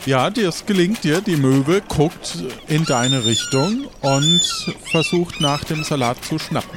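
A man improvising the narration of an adventure game as game master, halting as he searches for words. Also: fairly high pitch, wildly swooping pitch, heavy background noise.

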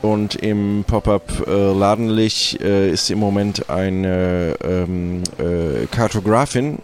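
A man voicing a nervous, deferential pirate companion in an improvised audio adventure, halting and stammering. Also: deep voice, fairly even intonation, a little mellow. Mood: nervous, hesitant.

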